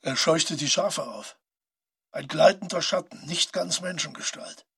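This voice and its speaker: Killer's inner voice, radio drama. Extremely husky with gravelly creak, clipped and terse narration. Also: male voice, fairly high pitch, a little slow, pushed and bright, no room sound, clean studio sound, exaggerated voice. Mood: tense. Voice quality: husky, raspy.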